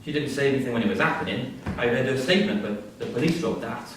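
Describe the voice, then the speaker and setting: A man's voice: mid-range voice, fast, a bit bright, natural voice. A man playing a blunt, colloquial prisoner, a cocky yet brooding killer, in a staged drama reading. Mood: brooding, subdued. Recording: very echoey hall, distant mic, hiss.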